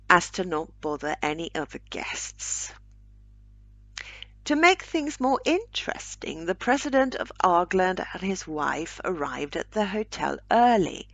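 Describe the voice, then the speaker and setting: A woman reads a short story aloud in a scripted narrator's style. Her voice sits a little low and moves very slowly, with deliberate phrasing. The sound is dry, with no room around it. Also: dry recording. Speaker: author reading own short story aloud.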